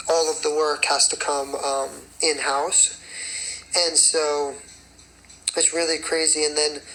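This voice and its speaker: A raspy young man, a singer and choreographer, chatting casually on a phone livestream and rambling with many fillers. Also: on the high side, pushed and bright, a little hiss or hum, poor audio. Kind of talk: casual livestream chat answering comments.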